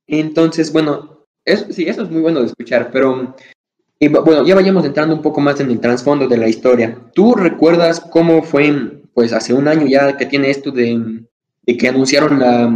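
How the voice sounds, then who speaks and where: Young male podcast host, rambling questions, many fillers, mid-pitched voice. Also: a little mellow, muffled recording. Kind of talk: casual interview.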